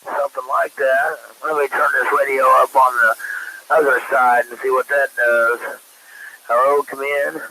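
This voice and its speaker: Gravelly guy shooting the breeze on cb radio, rough jokes, clear static. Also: medium pitch, flat delivery, moderate pace, sharp tone, poor audio. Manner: joking, coarse. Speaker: CB radio operator. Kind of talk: casual CB radio banter. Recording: static-laden CB radio transmission.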